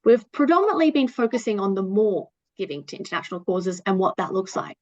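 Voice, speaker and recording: A woman co-chairing a webinar, opening it in a measured, formal way. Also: medium pitch, sing-song, darkish tone, dry recording, dull audio.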